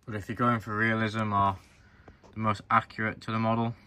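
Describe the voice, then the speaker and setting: Male hobby reviewer, low-pitched but bright and forward, casual and explanatory delivery. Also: flat delivery, fairly quick. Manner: informal, explanatory, addressing viewers directly. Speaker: presenter of a farm model review channel. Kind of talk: casual hands-on review narration.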